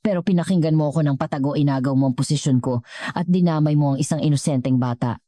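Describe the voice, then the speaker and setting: A woman storyteller plays a stern old company chairman, a wounded father who speaks gravely and deliberately. The voice is low and mellow, recorded dry, as in an audio storybook. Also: no echo, lightly acted. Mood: hurt, stern.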